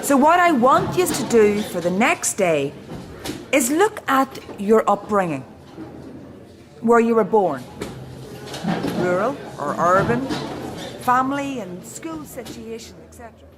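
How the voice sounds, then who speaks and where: A woman playing a brisk, exacting prison education teacher in a radio play, firm and instructive. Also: on the high side, very animated, noisy background.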